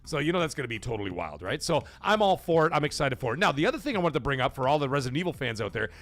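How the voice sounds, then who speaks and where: A man hosting a casual gaming live stream, rambling excitedly with fillers and restarts, greeting chat viewers and swearing casually. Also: medium pitch, racing. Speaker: livestream podcast host.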